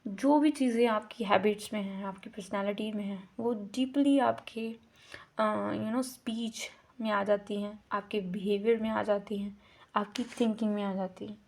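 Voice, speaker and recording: A woman with an Indian accent giving a slow, deliberate lecture, mixing English terms into her talk and repeating words to show how they are pronounced. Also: medium pitch, fairly even intonation, vocal fry, a little mellow. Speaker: teacher. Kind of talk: lecture explaining a course unit.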